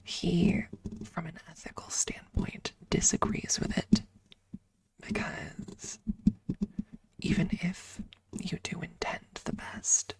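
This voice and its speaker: A woman ASMR streamer speaking in a breathy up-close whisper, softly and deliberately telling casual stories to viewers right at the microphone. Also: fairly low pitch, fairly even intonation, low-quality recording. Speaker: ASMR livestreamer.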